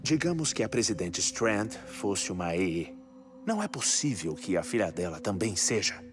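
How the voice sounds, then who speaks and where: A man with a Brazilian accent playing an earnest scientist in a dubbed game cutscene, explaining in a deliberate, lecturing, emphatic way. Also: fairly low pitch, very animated. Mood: cautious, reasoning. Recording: loud music behind voice. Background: cinematic game music.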